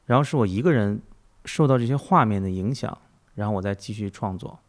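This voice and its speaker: A man's voice: fairly low pitch, brisk. A man hosting a conversational interview, thinking aloud as he probes with questions and comparisons. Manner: musing, probing, thinking aloud. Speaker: interviewer, host.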